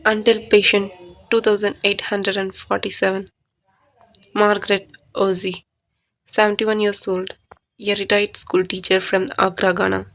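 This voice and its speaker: A woman narrating a scripted horror story as a podcast storyteller, with deliberate, dramatic phrasing. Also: medium pitch, flat delivery, fairly bright tone.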